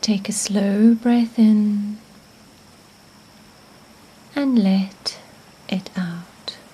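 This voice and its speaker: A woman narrating a guided meditation in a gentle, soothing, hushed manner, with phrase endings fading toward a whisper. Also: mid-range voice, fairly even intonation, drawn-out words, a little hiss or hum, low-quality recording.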